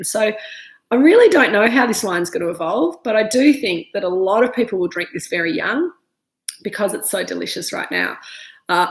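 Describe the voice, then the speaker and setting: A woman in her thirties with an Australian accent talks her way through a wine tasting, stuffy from a cold and full of ums and restarts. Her voice has a gravelly edge. The room around her echoes noticeably. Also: fairly low pitch. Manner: chatty, many ums and restarts. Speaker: winemaker hosting a live virtual tasting. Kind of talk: casual live-stream chat, reading viewer comments. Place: winery room.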